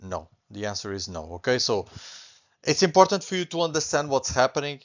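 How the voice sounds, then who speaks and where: Middle-aged Portuguese man with an accent, patient beginners' teacher, mid pitch, slightly dull lo-fi sound. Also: sing-song, a little fast. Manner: patient, reassuring, repeats points, often adds okay. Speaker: language teacher. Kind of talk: online language lesson.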